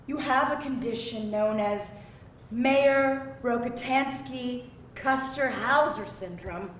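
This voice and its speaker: A woman playing an overearnest female doctor or therapist at a live script read, grave and deliberate. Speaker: actor at a screenplay table read. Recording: roomy, distant mic, faint rumbling noise.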